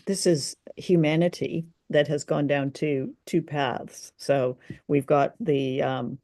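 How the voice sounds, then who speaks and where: A woman's voice: sits low, fairly even intonation, slow pace, dark tone, no echo, studio quality. A woman co-hosting a discussion show, talking casually and thoughtfully with hesitant, good-humoured phrasing. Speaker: co-host of an old-time radio discussion show.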